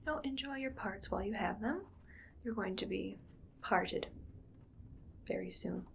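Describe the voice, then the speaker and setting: A woman playing a cold, calculating mad scientist in an ASMR roleplay, whispery and creaky, speaking in a clinical, deliberate, quietly menacing way. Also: medium pitch, slight background noise. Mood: coolly pleased.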